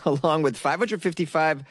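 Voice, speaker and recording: A man's voice: a little high, unhurried, no room sound, studio quality. A man reading news stories aloud and breaking off into sarcastic, rambling jokes aimed at his viewers. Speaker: solo news commentary host. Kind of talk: casual commentary reading news articles.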